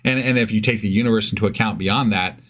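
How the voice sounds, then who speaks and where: A man's voice: on the low side, fast talker, fairly bright tone. A man co-hosting a podcast, chatting casually about scripture in a blunt, profane way. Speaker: podcast co-host. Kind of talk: casual Bible discussion.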